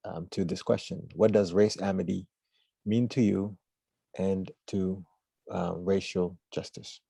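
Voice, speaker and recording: A man moderates a discussion in a lowish, mellow voice. He speaks hesitantly, pausing often. The sound is dry and close. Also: creaky, dry recording. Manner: hesitant, with frequent pauses. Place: online video meeting.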